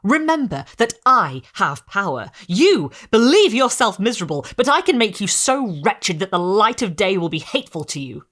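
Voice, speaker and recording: A woman voicing a wretched, vengeful male creature who speaks in a low, smothered, threatening way. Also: big pitch swings, normal speed, few pauses, fairly bright tone.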